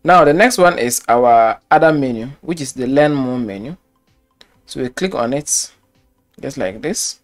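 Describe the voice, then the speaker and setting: A man's voice: medium pitch, lively intonation, unhurried, soft background music. A man walking through a website-building tutorial step by step, repeating key phrases as he clicks through the screen. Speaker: web design tutor. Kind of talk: screen-recorded software tutorial.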